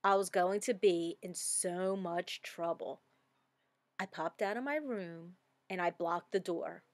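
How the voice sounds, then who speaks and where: A woman reading a children's story aloud with expressive, dramatic emphasis. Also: mid-range voice, no echo. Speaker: storybook reader.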